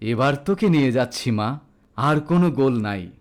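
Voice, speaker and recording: A man's voice: mid-range voice, lively intonation, normal speed, voice pushed down, natural voice. Man playing an anguished, proud old father. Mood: tender, pained. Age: older. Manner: tender, flaring into indignation. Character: elderly, anguished, proud indebted Bengali father.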